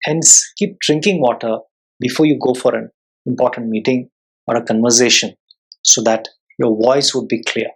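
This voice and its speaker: An instructor giving an explanatory lesson in a measured, formal, clearly enunciated way. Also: medium pitch, fairly even intonation, rough recording. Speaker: soft skills instructor and public speaker.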